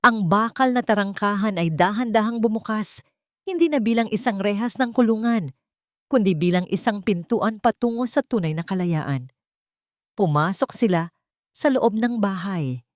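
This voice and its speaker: A woman's voice: medium pitch, lively intonation, moderate pace, no echo, studio quality. A woman reading a scripted dramatic story in an earnest, sentimental way. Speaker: audio drama narrator.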